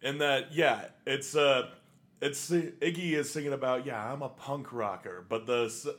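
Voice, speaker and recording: A man's voice: mid-range voice, measured pace, a bit bright. A man co-hosting a music podcast, talking in a rambling, hesitant way with many fillers and restarts. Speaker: podcast co-host. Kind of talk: casual chat about punk music. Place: Salt Lake City, USA.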